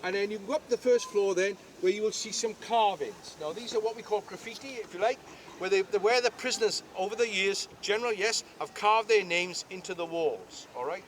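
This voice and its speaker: High-voiced Welsh tour guide outdoors. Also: male voice, a little fast, slight background noise. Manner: jokey, chatty, asks the crowd questions. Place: outdoors at a historic castle.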